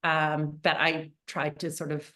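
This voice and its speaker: A young woman from Canada speaks as an interview guest, her voice sitting low. She talks in a loose, chatty way, full of fillers and restarts. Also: flat delivery, unhurried, fairly bright tone. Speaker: guest artist. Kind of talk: casual interview.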